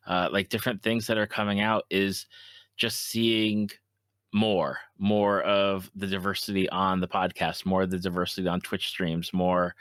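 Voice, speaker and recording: A man hosting a casual interview podcast, speaking earnestly and haltingly, often repeating his words. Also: on the low side, fairly bright tone, no echo.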